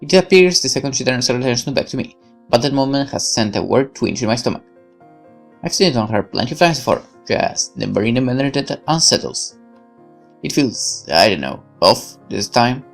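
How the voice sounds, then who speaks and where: A let's player with a creaky voice, reading narration aloud expressively and dropping in casual asides. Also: medium pitch, animated, brisk, soft background music.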